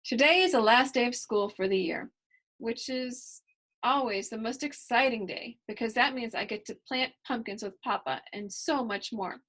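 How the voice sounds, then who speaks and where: Storybook lead: girly seven-year-old voiced by a woman, light higher pitch, enthusiastic and emphatic. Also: normal speed, fairly bright tone. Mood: excited. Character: bubbly, girly, fashion-proud seven-year-old farm girl. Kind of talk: first-person children's storybook narration.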